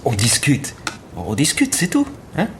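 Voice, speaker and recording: A man's voice: medium pitch, huge pitch swings, unhurried, creaky, a bit bright, a little hiss or hum, full character voice. An actor playing an easygoing male homicide inspector from Wisconsin, soothing and faintly teasing, in a dubbed crime drama. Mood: calm. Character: calm, disarming male homicide detective. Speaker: police inspector. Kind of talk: French dub of a TV crime drama.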